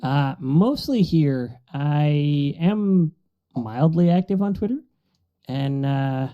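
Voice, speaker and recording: A man's voice: fairly high pitch, lively intonation, takes it slowly, clear, resonant tone. A man chatting casually as a podcast panelist, recorded through a dull, lo-fi microphone. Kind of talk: casual chat.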